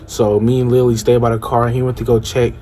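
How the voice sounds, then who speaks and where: A man's voice: medium pitch, monotone, fairly quick, dark tone, slight background noise. A young adult man telling a story casually, stuttering and repeating himself as he goes.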